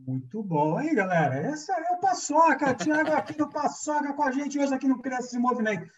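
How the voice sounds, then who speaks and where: A man's voice: very high-pitched, very animated, normal speed, a crowd in the background. A Brazilian man hosting an online interview programme in an upbeat, informal, friendly and enthusiastic way. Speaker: host of a weekly online programme. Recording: distant, dull lo-fi sound.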